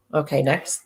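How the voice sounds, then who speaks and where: A woman doing live psychic readings who sounds congested and talks in halting bursts, often restarting and correcting herself. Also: fairly bright tone. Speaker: psychic medium. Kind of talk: live-stream readings, casual chat.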